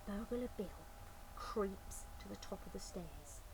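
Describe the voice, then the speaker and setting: Woman reading a kids picture book, super expressive, takes her time, chats to the little ones, bit of noise behind. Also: medium pitch, slow. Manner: playful asides to young listeners. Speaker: storyteller. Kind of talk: animated children's picture-book read-aloud. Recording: faint background noise.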